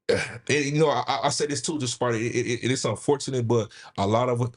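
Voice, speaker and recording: A man's voice: medium pitch, fast. A man telling his own life story with candor on a live show, often restarting and repeating his phrases. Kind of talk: candid personal storytelling. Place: studio with caller on line.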